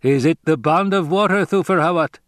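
A man's voice: a little high, normal speed, dry recording, clean studio sound, pitched above the performer's own voice, natural voice. A man voicing a proud, fierce desert fighter who speaks in blunt, matter-of-fact declarations, in an audiobook story. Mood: solemn, insistent.

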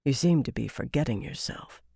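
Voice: mid-range voice, lively intonation, moderate pace, darkish tone, no room sound, studio quality, lightly acted. Narrator as a stern, controlled woman commander. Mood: restrained anger. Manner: mild-voiced, firm, menacing.

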